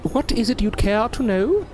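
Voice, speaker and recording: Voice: mid-range voice, noisy background. A performer playing a proud but polite circus newcomer in an audio drama, with an earnest, formal and sometimes hesitant manner.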